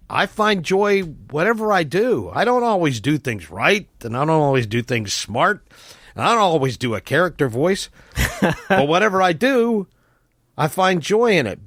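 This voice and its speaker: Male player as male tabletop-game cleric: sincere, plainspoken optimist, unhurried delivery, lively inflection, dry recording. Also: high-pitched, dry recording, full character voice. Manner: earnest, plainspoken, slow deliberate phrasing.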